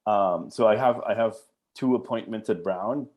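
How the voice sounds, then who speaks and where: A man's voice: lowish voice, moderate pace, darkish tone. A middle-aged man from Colombia, a professor answering interview questions in a hesitant, thoughtful way with many restarts. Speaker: archaeology professor, guest.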